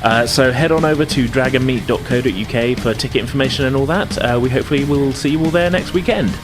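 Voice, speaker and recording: A man's voice: mid-range voice, quick pace, over music. A British man hosting and game-mastering a tabletop podcast, talking informally and circling around his phrases. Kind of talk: casual spoken recap and narration for a tabletop game.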